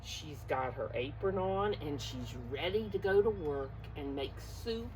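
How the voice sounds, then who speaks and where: A woman reads a story to preschoolers in a slightly low voice with very lively, swooping intonation. She takes her time, coaxing her young listeners and asking them to guess along. There is some background noise in the recording. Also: unhurried, poor audio. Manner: expressive, coaxing, addresses listeners directly. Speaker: preschool teacher reading a picture book. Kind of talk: animated read-aloud to young children with guessing questions.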